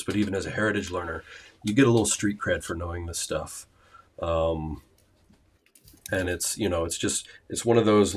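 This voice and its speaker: A man hosting a language-learning livestream, chatting casually with many fillers and restarts. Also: deep voice.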